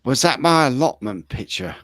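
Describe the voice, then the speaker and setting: A man with a British accent telling casual, cheeky stories, often halting and repeating himself. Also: mid-range voice, very animated, fairly bright tone, no room sound, clean studio sound. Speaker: talk show regular. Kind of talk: casual bawdy storytelling.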